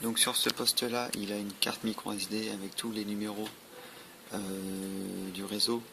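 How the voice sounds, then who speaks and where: A man's voice: on the low side, flat delivery, measured pace, creaky. A man giving an informal, matter-of-fact, step-by-step product demonstration. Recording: noisy amateur recording with clicks.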